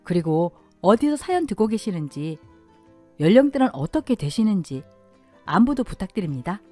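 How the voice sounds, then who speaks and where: A woman narrating a first-person story for a radio-style story channel, telling it in an expressive, emotional way. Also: medium pitch, moderate pace, faint music behind.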